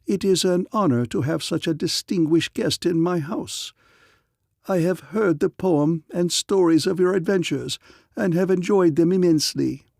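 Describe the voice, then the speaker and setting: A man's voice: mid-range voice, expressive, normal speed, vocal fry, few pauses, mellow, breathy edge, dry recording, clean studio sound. A man voicing a sly, menacing sorcerer priest in a fantasy story, formal and mock-courteous. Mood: mock welcome. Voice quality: strange foreign accent.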